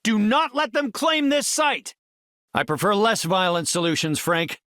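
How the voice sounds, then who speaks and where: An actor playing a courteous, confident middle-aged superhero scientist in a video game, speaking in an articulate, formal and erudite way. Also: male voice, sits high, sing-song, measured pace, sharp tone, no room sound, clean studio sound, exaggerated voice. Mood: urgent, commanding. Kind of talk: video game character voice lines.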